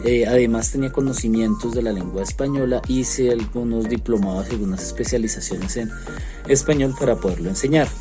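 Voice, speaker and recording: A man from Colombia with a Colombian accent, a French teacher earnestly explaining his view to students, pausing often on fillers. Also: mid-range voice, over music. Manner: earnest, frequent hesitation fillers. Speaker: French teacher, interviewed expert.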